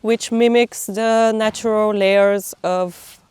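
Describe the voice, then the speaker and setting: A woman from Bulgaria, a volunteer describing a tree planting in a measured, informative way. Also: mid-range voice, fairly even intonation, a little mellow, slight background noise. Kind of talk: documentary interview. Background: guitar music.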